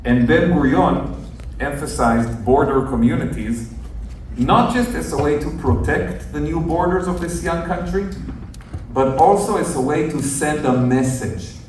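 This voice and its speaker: A young adult man, a journalist telling a group his story in an earnest, measured, explaining way. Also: on the high side, darkish tone. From northern Israel. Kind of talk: storytelling talk to visitors. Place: outdoors at a kibbutz near the Gaza border. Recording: very echoey, distant outdoor recording with faint noise.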